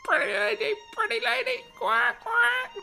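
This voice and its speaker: A woman's voice: very high voice, unhurried, gravelly, pushed and bright, faint music behind, pitched above the performer's own voice, full character voice. A woman voicing a squawking pet parrot that repeats pirate phrases in chirpy, repetitive bursts.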